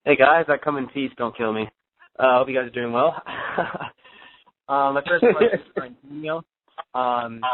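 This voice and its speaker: A medium-pitched man speaks through a muffled, narrow telephone line. He questions politely as a reporter, hesitating often as he forms his phrases. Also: slight background noise. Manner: hesitant, courteous. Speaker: boxing reporter.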